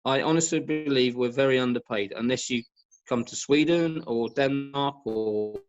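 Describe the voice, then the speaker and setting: A man speaking as an expert guest in an informal discussion on a video call, halting and repeating words for emphasis. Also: medium pitch, soft background music. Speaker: guest expert, professional badminton racket stringer. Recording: dull lo-fi video call audio.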